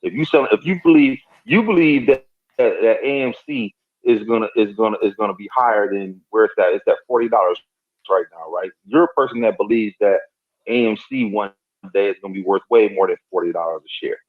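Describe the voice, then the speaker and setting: Man, 40s, lower voice, insistent debater; thin phone-call audio. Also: a little fast. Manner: insistent, repeats his question. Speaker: call participant. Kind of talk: heated debate on a group call.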